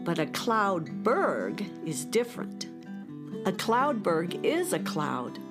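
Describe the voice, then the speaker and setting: Unhurried, lively woman storyteller. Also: on the low side, over music. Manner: addresses young listeners, theatrical. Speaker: narrator. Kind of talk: scripted children's storytelling.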